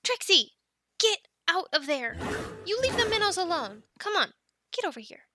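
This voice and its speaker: A woman's voice: high voice, lively intonation, slow pace, gravelly, background noise, exaggerated voice. A woman playing a friendly, encouraging dragon keeper in an ASMR roleplay, chatting playfully with the listener and cooing to animals like pets. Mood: excited, playful scolding.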